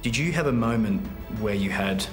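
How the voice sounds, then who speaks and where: A man with a lowish voice hosts the interview, asking questions and nodding along with short replies. The room sounds echoey, with faint music in the background. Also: moderate pace. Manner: brief encouraging interjections. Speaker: interviewer host. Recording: roomy echo, faint music.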